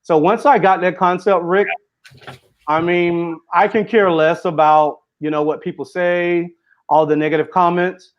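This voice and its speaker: A man's voice: sits high, steady tone, a little slow, highs cut off. A man giving an earnest interview as a guest author and speaker, running his sentences together with fillers. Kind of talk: casual interview conversation.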